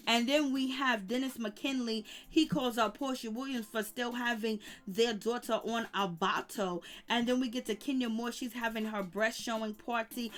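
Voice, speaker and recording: Woman, YouTube gossip commentator, fairly high voice, loose rambling delivery, tuts and hums along the way. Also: a bit bright. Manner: chatty, rambling with many "you know" fillers; hums disapproving "mm, mm" asides. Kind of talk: casual gossip recap.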